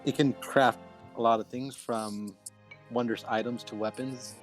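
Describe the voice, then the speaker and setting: A man's voice: mid-range voice, steady tone, a little slow, faint music behind. A man who plays tabletop games, introducing his character. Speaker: tabletop game player.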